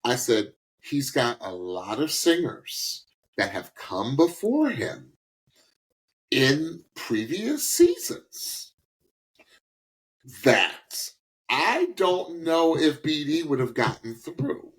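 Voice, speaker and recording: Animated man hosting a podcast. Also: fairly high pitch, drawn-out words, rough recording. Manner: animated, emphatic, informal, repeats words for emphasis. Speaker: podcast host. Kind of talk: casual reaction podcast talk, giving opinions.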